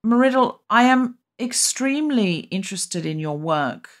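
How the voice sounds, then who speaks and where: A woman from Australia hosting a podcast over a video call, brisk, wry and teasing. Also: on the high side, expressive. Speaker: podcast host. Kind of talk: casual chat and announcements.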